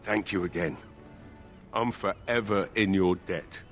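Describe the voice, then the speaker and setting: An actor playing a grateful, earnest villager in a fantasy video game, speaking formally. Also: male voice, sits low, measured pace, soft background music. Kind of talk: scripted video game dialogue.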